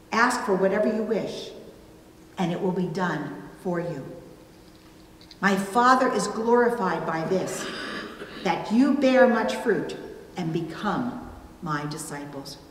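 Slow, deliberate woman preacher in echoing church. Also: mid-range voice. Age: middle-aged. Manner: deliberate, emphatic phrasing with pauses. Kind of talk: sermon read from a pulpit. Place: indoors at a church pulpit. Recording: roomy, reverberant church, distant, faint noise.

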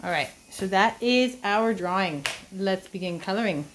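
A woman's voice: medium pitch, lively intonation, normal speed, a little hiss or hum. A woman giving an informal step-by-step drawing lesson for children, thinking aloud as she works. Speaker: art teacher. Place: indoors at a table.

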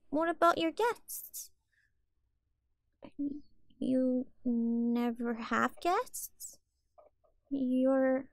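A sweet little catgirl for a roleplay audio, timid and childlike. The voice is high and creaky at the edges, recorded very dry. She speaks hesitantly, stammering as she tries to please. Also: darkish tone, no room sound. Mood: shy, sympathetic.

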